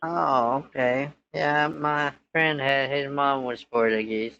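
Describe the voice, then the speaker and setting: A man's voice: mid-range voice, fairly even intonation, slow, vocal fry, bright, forceful tone, muffled recording. A man in his twenties, a scambaiter posing as a son, chatting casually in a hesitant, rambling way. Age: 20s. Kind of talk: casual phone chat.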